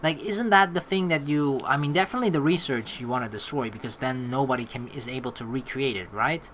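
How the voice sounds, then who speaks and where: A let's player reading game text aloud and giving casual, rambling, halting commentary as they think out loud. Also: medium pitch, slight background noise.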